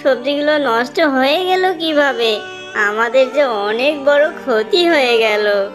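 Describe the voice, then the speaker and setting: A woman voicing a kindly little female tailorbird in a cartoon, with an earnest, gentle manner. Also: high voice, lively intonation, takes it slowly, over music. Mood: troubled. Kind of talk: cartoon character dialogue.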